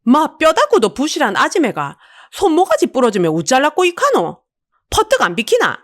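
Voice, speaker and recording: Woman playing gruff, commanding Busan tough guy. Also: high voice, huge pitch swings, normal speed, voice pushed up. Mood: gruff scolding. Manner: blunt, commanding, scolding. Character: gruff, intimidating big man, secretly powerful hotcake-seller boss.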